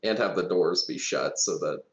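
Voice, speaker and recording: A man's voice: sits low, moderate pace, roomy sound, highs cut off. A man chatting casually as he plays a tabletop game.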